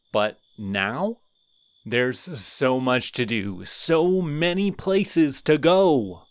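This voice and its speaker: A man's voice: mid-range voice, clear, resonant tone, no echo. A man voicing a lonely, friendly skeleton who is centuries old, in an audio roleplay. He talks in a rambling, earnest, slightly awkward way. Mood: earnest, sheepish.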